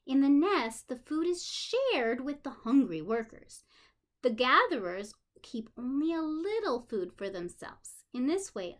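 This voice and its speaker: A woman teacher reading aloud to young children in an expressive manner, pausing for simple explanations and questions. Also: high-pitched. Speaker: special education teacher.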